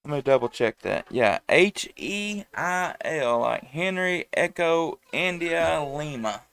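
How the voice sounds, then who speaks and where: A man's voice: a little high, lively intonation, takes it slowly, creaky, a bit bright, a little hiss or hum. A man, chatting casually and rambling as a ham radio operator on a headset microphone. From United States. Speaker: amateur radio operator and live stream host. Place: at home indoors.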